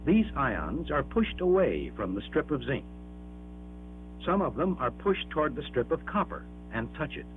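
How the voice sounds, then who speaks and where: A man reads a scripted educational film narration in a measured, emphatic, explanatory manner, on an old 1960s film soundtrack. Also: fairly high pitch, big pitch swings, faint music behind. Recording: dull band-limited 1960s 16mm film soundtrack.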